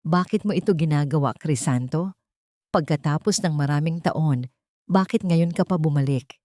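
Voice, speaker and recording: A woman's voice: low-pitched, moderate pace, dark tone, lightly acted. A woman playing a wounded, questioning woman with restrained emotion in an audio drama. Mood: hurt, questioning.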